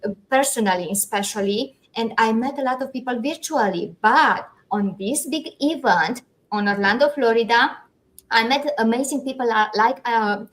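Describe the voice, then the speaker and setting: Brief: European woman host, slightly high voice, encouraging, halting non-native English. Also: low-quality recording. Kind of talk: casual interview.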